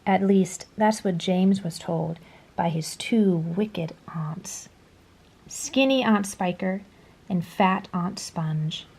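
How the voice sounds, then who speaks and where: A woman reading a children's story aloud in a dramatic storytelling style, dropping to a hush at phrase endings. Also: lowish voice, takes it slowly, gravelly, slightly rough. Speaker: storybook reader. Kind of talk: expressive children's read-aloud narration.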